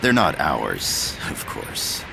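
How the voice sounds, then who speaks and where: An actor playing a courteous older professor in a game cutscene, formal and earnest. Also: male voice, rumbling low, huge pitch swings, slow pace, vocal fry, noisy background, full character voice. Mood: grave, polite. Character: courteous, grave older male Costa Rican professor, pleading. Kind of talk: dramatic game cutscene acting. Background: loud dramatic music.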